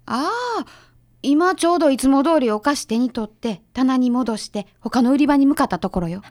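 Radio drama assistant, young woman played by an actress, high voice, Kansai accent, casual and easily delighted. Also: animated, moderate pace, a little mellow. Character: easygoing, sweets-loving young woman, detective's assistant.

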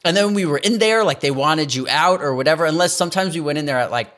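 A man's voice: on the high side, animated, fast, fairly bright tone. A man co-hosting a podcast, telling loose, profane stories in casual chat with lots of fillers. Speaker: podcast co-host. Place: away in a cold city, remote call.

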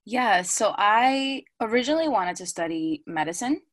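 A woman's voice: mid-range voice, lively intonation, moderate pace, no room sound, studio quality. A woman from the Dominican Republic who grew up in the United States, with an American accent, chatting casually as an interview guest. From Dominican Republic, raised in the United States. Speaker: podcast guest, wellness coach and Zumba instructor.

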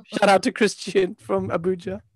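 A man co-hosting an interview, joking and teasing, with bursts of excited exclamations. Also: high voice, normal speed, no room sound. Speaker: interview co-host. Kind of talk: casual interview chat over a call. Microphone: headset.

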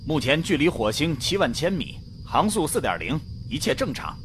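An actor playing a calm, skeptical male astronaut who reports flight data in a science fiction radio drama. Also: on the high side, normal speed, a little hiss or hum. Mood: reporting. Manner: matter-of-fact, dismissive.

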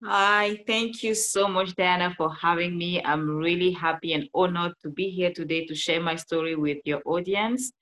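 A woman from Cameroon with a Cameroonian accent, telling her story as an interview guest in an animated way with frequent laughs. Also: lowish voice, moderate pace, hardly any pauses, a little hiss or hum. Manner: animated, laughs often, restarts sentences. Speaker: guest, life coach.

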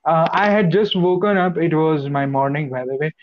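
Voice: mid-range voice, moderate pace, muffled recording. A co-host of a live online show, introducing a guest with effusive praise and many fillers, over a video call.

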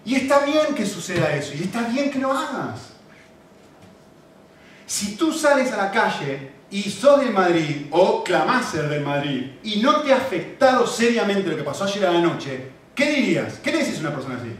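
Man, Rioplatense Spanish accent, preaching, high voice, heavy hall echo, distant mic. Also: normal speed, slight background noise. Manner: animated, repetitive, asks the congregation questions. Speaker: pastor. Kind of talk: sermon. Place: church.

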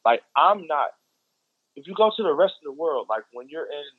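A man's voice: on the high side, animated, normal speed, breathy edge, no room sound, narrow, tinny audio. A man with an American accent explaining his views in casual conversation, emphatic and repeating phrases for stress.

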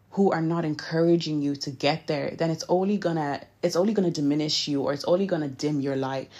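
Low-voiced Nigerian woman giving pep talk. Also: a little mellow. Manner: earnest and direct, occasional laughs and self-corrections. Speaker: podcast host. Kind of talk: casual motivational talk.

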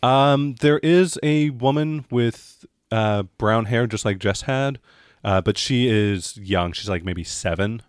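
A man narrating as game master of a tabletop role-playing game in a conversational, halting way. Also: medium pitch, clear, resonant tone, no echo, clean studio sound.

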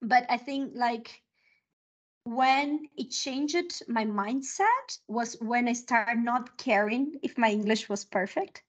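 Animated Brazilian woman with an accent. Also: fairly high pitch, slow, dull audio. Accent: Brazilian Portuguese. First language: Portuguese. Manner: animated, enthusiastic storytelling. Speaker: guest, 3D modeling specialist. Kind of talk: casual podcast chat.

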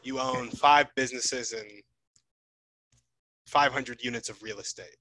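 A man's voice: mid-range voice, steady tone, measured pace, sharp tone, rough recording. A man hosting a podcast in a casual, informal and encouraging interview style.

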